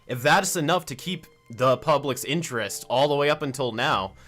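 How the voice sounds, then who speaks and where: A man's voice: medium pitch, moderate pace, a bit bright, faint music behind. A man in his twenties hosting a casual fan interview, informal and a little hesitant, repeating words as he phrases thoughts. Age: 20s. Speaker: interviewer, fan show host. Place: home office.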